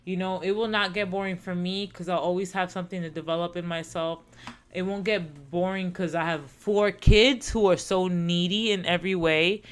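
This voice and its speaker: A woman's voice: on the low side, fairly even intonation, moderate pace. A woman in her thirties co-hosting a casual podcast, talking earnestly and reflectively, often repeating herself. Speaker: podcast co-host. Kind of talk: casual chat.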